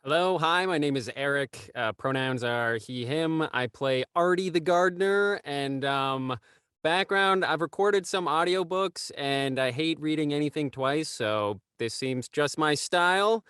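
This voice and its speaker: Male actor, smooth resonant voice, casual chat, dry close sound. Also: fairly high pitch, unhurried, fairly bright tone, no room sound. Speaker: actor and audiobook reader. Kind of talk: casual self-introduction.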